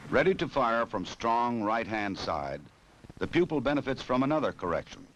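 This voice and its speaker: An American man narrating a 1960s instructional film in a formal, measured, authoritative way, on an old film soundtrack. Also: medium pitch, big pitch swings, a little hiss or hum, poor audio.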